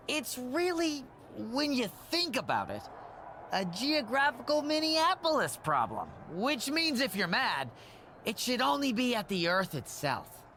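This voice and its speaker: A skittish male manager in a wrestling game, rambling and eager to please. His bright voice leaps up and down as he fusses. A faint hiss sits under the game audio. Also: very high voice, full character voice. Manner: anxious, rambling, sycophantic. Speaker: wrestling show official. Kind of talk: video game cutscene acting.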